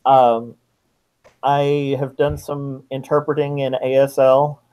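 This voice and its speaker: A middle-aged man answering interview questions as a guest author, in a measured, reflective manner. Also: mid-range voice, flat delivery, darkish tone, clean tone, lo-fi sound. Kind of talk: interview answers about writing.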